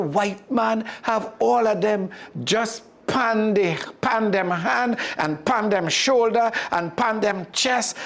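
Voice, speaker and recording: Storyteller playing a sly male spider trickster: Jamaican patois, raised pitch, coaxing and boastful, storybook style.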